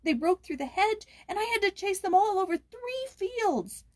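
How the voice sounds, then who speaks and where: A woman voicing a small, frightened nine-year-old peasant boy in a storybook, shrill and quavering, anxiously pleading. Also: animated, normal speed, no room sound, studio quality.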